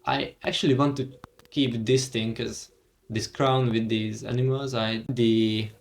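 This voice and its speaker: A young man whose first language is Hungarian talks unhurriedly through a software tour, drawn out and relaxed in his pacing. His pitch sits at an ordinary middle level, and he thinks aloud with fillers and little pauses. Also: gravelly. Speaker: tech vlogger. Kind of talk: casual screen-recorded walkthrough.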